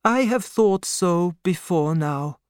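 A man voicing an aging, gentle doctor who speaks in an anxious, fatherly, pleading way. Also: high voice, animated, slow, clean tone, dry recording, studio quality, pitched above the performer's own voice. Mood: sad, tender. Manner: pleading, emotional.

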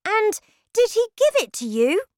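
An eager, indignant little storybook boy, acted out by a woman. The voice is very high and leaps about with breathless questions and exclamations. It sounds close and dry. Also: sing-song, measured pace, darkish tone, no echo, heavily acted. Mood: eager, excited. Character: excitable young boy turned mouse, eager and indignant. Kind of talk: children's storybook character voice.

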